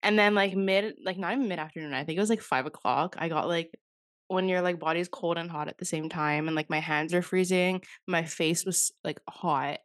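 A woman's voice: lowish voice, fairly even intonation, fairly quick, no echo, clean studio sound. A young woman co-hosting a podcast in casual chat. She rambles, fills every phrase with "like" and swears freely, and she sounds slightly under the weather. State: slightly sick, voice a bit off. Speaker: podcast co-host.

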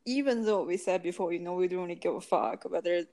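A woman's voice: fairly low pitch, quick pace, warm tone, slight background noise. A woman co-hosting a podcast, talking casually with many fillers like "you know" and "like". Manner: chatty, heavy on "like" and "you know". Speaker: podcast co-host. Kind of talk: casual chat.

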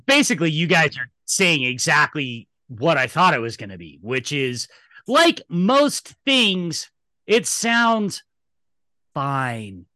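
Guy podcast host ranting about his takes, bright punchy voice, kinda high, super dry sound. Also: sing-song, takes it slowly, no room sound. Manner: emphatic, opinionated, profane. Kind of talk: casual film discussion, opinion rant.

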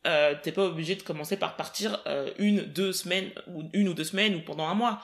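A young woman from the Paris region in casual podcast conversation, emphatic and quick, often laughing. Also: lowish voice. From Paris region, France.

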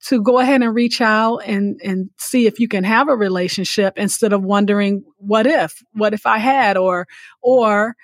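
A woman's voice: mid-range voice, dry recording. A woman hosting a conversational podcast interview, affirming her guest and repeating phrases for emphasis. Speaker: podcast host.

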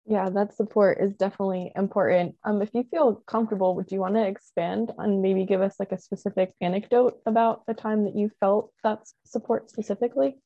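Mellow, creaky woman interviewer. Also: mid-range voice, fairly even intonation, brisk, few pauses, no room sound. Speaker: podcast co-host, interviewer. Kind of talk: conversational interview questions. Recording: dry, slightly dull recording.

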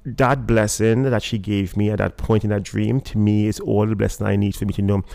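A man from Trinidad with a Trinidadian accent, a guest talking in a relaxed interview and often pausing with fillers and restarts. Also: on the low side, vocal fry, no room sound. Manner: hesitant, many fillers and restarts. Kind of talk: casual interview talk.

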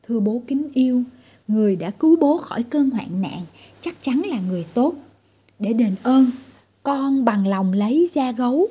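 A woman voicing a gentle, loyal young daughter in a fairy tale, respectful and sincere. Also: on the high side, animated, moderate pace, slightly rough. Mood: sincere, devoted.

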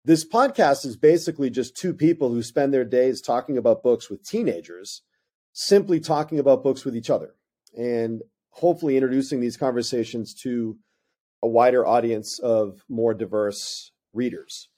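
Male co-host, 30s, Northern US accent; earnest reflective talk, dark relaxed timbre. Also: mid-range voice, moderate pace. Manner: earnest, restarts phrases often. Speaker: podcast co-host, high school English teacher. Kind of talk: reflective monologue.

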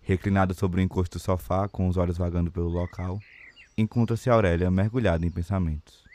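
A man's voice: sits low, steady tone, brisk, a little mellow. A man with a Brazilian accent narrating a period novel as scripted audio drama.

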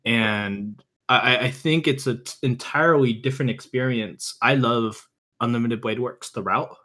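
A man's voice: mid-range voice, moderate pace, sharp tone. A man speaking as a podcast guest, giving emphatic opinions in an informal, conversational way. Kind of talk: casual opinionated chat.

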